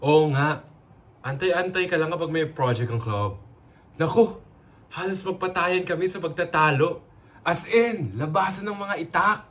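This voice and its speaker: A man's voice: fairly high pitch, unhurried, a bit bright. A Filipino man playing a boastful, playful, flirtatious IT specialist in an amateur dramatic reading. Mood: playful, teasing. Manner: cocky, playful. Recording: faint background noise.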